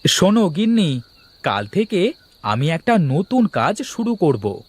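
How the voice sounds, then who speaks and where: Animated, scheming village husband played by a man. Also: sits high, a little slow, slightly rough, heavily acted. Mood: eager, boastful. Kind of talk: Bengali comedy cartoon dubbing.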